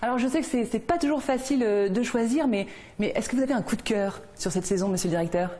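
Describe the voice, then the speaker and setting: A woman, presenting a regional TV culture segment, lively, with some hesitant fillers. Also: on the high side, quick pace.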